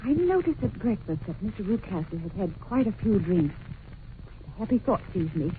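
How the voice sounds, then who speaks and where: A woman's voice: medium pitch, very animated, normal speed, narrow, tinny audio, lightly acted. A woman playing a polite, anxious and curious young governess in an old-time radio drama, telling things earnestly and expressively. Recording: noisy, thin old radio recording.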